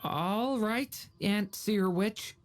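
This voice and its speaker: A woman's voice: mid-range voice, drawn-out words, faint music behind. A woman voicing a polite, earnest child apprentice in a video game. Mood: polite, curious. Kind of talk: video game character acting.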